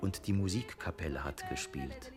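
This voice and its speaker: Deep-voiced, reflective male narrator. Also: normal speed, over loud music. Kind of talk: reflective storytelling narration in a radio play.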